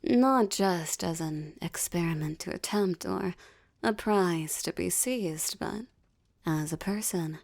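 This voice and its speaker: Seductive demoness for audio roleplay, acted by a woman: lowish, creaky, ornate diction, teasing and menacing, dry intimate sound. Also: a little mellow, no echo, heavily acted. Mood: possessive, teasing. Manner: slow, breathy, formal and ornate diction, teasing. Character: seductive, menacing adult female succubus demon, teasing and possessive. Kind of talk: intimate audio roleplay, ASMR-like monologue addressed to listener.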